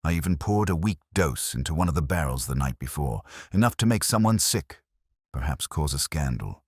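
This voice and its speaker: A man voicing an older widow in a story reading, speaking softly in a drained, resigned way. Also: a bass voice, expressive, moderate pace, slightly rough, no room sound, clean studio sound, exaggerated voice. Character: older widow, quiet and worn down.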